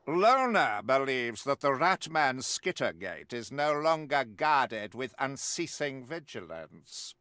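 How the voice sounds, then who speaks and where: Pompous, zealous warrior priest, forceful and sharp. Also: male voice, medium pitch, very animated, smooth, no room sound, heavily acted. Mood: confident, righteous. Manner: pompous, grandiloquent, deliberate pauses for effect. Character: zealous, righteous warrior priest and witch hunter. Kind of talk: theatrical video game mission briefing.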